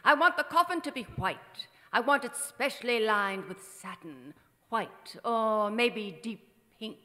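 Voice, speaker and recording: Vain aging movie queen, theatrical, declamatory, forceful bright voice, radio play, long stage reverb. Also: fairly high pitch, animated, measured pace. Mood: imperious. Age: middle-aged. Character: imperious, vain, faded silent-film diva, older woman. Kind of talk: old-time radio drama acting. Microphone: stand microphone on stage.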